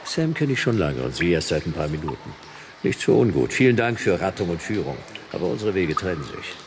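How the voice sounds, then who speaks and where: A man's voice: low voice, sing-song, brisk, slight background noise. A man playing a world-weary private detective in a radio drama, speaking in dry, laconic, clipped phrases. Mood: wry. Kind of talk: noir radio drama narration and dialogue.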